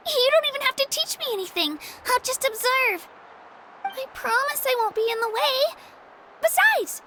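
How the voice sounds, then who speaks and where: A woman's voice: very high voice, background noise, heavily acted. A woman voicing an earnest, humble young girl who hopes to become an adventurer, in a video game. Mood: admiring, eager. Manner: hesitant, humble, eager. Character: earnest, self-deprecating young girl, eager aspiring adventurer. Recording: background music under the voice.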